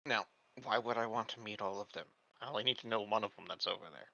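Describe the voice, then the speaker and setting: A man playing a cocky, standoffish security man in a roleplaying game, teasing with deadpan wit. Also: fairly low pitch, fast talker, vocal fry, no echo, muffled recording. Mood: dry teasing. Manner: deadpan, literal-minded teasing. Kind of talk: improvised in-character roleplay.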